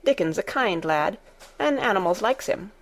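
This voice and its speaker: A good-tempered young maid with a broad Yorkshire accent chatters in a homely, outspoken way, as a woman narrator puts her on. The voice carries a slight gravelly edge, and she sounds plain-spoken and warm-hearted in this storybook reading. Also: mid-range voice, expressive, moderate pace. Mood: chatty.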